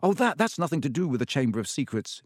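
A man's voice: on the high side, big pitch swings, fast, breathy edge, no room sound. A man voicing a pompous teenage boy prefect who stammers when embarrassed, in a dry recording. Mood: flustered.

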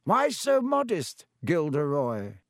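A man voicing a kindly, wise old male wizard headmaster, gentle and measured. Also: a little high, huge pitch swings, no room sound, studio quality, voice pushed up, full character voice.

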